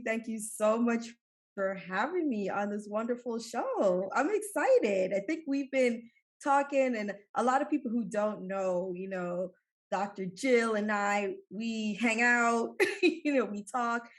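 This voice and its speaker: A woman's voice: on the high side, measured pace. Chatty middle-aged woman from Jamaica. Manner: warm storytelling with laughs and many fillers. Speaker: guest, emergency physician. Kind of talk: conversational interview storytelling.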